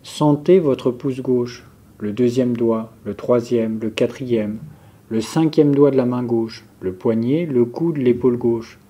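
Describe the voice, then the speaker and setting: A man guiding a yoga nidra relaxation session, giving calm, measured, step-by-step instructions. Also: medium pitch, slightly rough. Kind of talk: guided relaxation meditation, instructions spoken slowly to a group.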